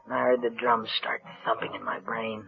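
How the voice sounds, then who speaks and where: An American actor playing a guilt-ridden, love-sick killer in vintage radio drama, halting, repetitive and pleading. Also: male voice, fairly low pitch, little pitch movement, gravelly, soft background music. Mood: numb narration. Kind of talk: 1940s-50s American radio drama acting. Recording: muffled vintage radio broadcast.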